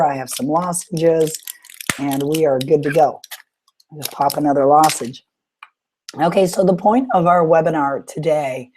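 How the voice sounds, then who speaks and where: A woman hosting a live webinar question-and-answer session with a sore throat, talking in an encouraging, coaching way with frequent pauses. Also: deep voice, mellow. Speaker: webinar host and author coach.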